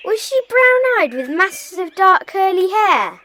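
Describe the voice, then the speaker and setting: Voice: very high voice, sing-song, measured pace, pushed and bright, full character voice. A performer playing an eager, inquisitive British child detective in an audio drama, speaking earnestly. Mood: curious.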